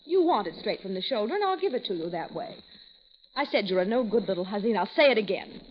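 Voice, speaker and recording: An actress playing a sharp-tongued rival woman who speaks bluntly and sarcastically, in a 1940s radio drama. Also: mid-range voice, big pitch swings, quick pace, natural voice. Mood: accusing. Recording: dull old radio recording with faint hiss.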